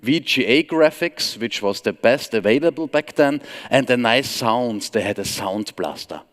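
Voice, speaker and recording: A man's voice: medium pitch, normal speed. Austrian-accented man speaking in a reverberant hall. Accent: Austrian German. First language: German. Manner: relaxed, wry, self-deprecating humor. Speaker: conference speaker. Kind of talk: conference tech talk with anecdotes. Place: large conference hall stage. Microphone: headset mic.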